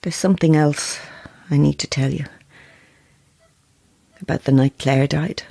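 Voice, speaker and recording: A woman's voice: low voice, measured pace, gravelly, dark tone, full character voice. A woman playing a composed, sceptical novelist in an audio drama, with a dry, understated and guarded manner. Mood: subdued, wry. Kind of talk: dramatic audio fiction acting.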